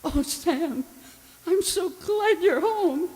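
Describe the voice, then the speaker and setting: A high, swooping female voice as an actress plays a distraught wife in a radio thriller. She is breathless and melodramatic, drawing out her words in a reverberant hall. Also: animated, slow pace, dark tone, heavily acted. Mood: frightened, distraught. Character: frightened, distraught wife in an eerie radio mystery. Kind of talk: old-time radio drama acting. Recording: echoey hall, live stage performance.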